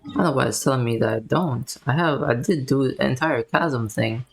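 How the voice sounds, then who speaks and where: A woman's voice: extremely low pitch, normal speed, vocal fry. A woman giving casual gameplay commentary as a streamer, musing aloud in an informal way. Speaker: video game streamer. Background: faint game music.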